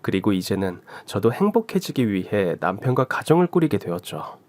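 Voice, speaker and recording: A man narrating a woman's first-person story in an expressive storytelling style. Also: lowish voice, moderate pace, no echo. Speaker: story reader. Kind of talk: storytelling radio drama narration.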